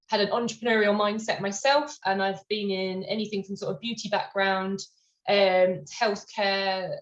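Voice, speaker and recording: Woman on a dull, echoey call line. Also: medium pitch. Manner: friendly, many ums. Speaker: university enterprise officer, co-host. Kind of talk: casual online meeting talk. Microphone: video call. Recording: dull, roomy video-call audio.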